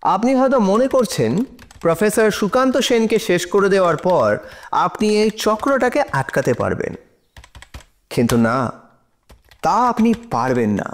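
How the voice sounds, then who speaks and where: A man playing a persistent, persuasive defence lawyer who questions formally and emphatically in a courtroom audio drama. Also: sits high.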